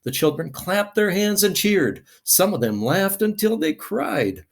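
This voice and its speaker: A man's voice: sits high, expressive, measured pace, creaky. A man reading a children's picture book aloud expressively, with dramatic emphasis.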